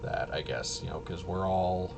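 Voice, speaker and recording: Casting: male streamer, lowish voice, loose rambling delivery that stops and restarts, noticeable background noise. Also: vocal fry. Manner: halting, self-interrupting, trailing off. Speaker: livestream host. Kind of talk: casual rambling livestream chat.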